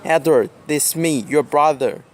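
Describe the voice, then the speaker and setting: A man's voice: a little high, normal speed, natural voice. A man playing a friendly young man, a younger brother in love, with simple scripted delivery in an amateur radio play. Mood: excited. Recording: faint background noise and ambience.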